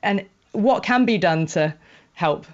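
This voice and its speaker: A woman's voice: medium pitch, animated, slow. Woman sports host, slightly dull sound. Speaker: sports show host, interviewer. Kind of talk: conversational broadcast interviewing.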